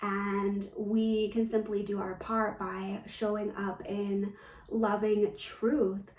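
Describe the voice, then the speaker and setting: A woman giving a reflective spiritual talk as a coach, gentle and earnest, often pausing mid-phrase. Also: medium pitch, flat delivery. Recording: roomy echo, distant from the mic.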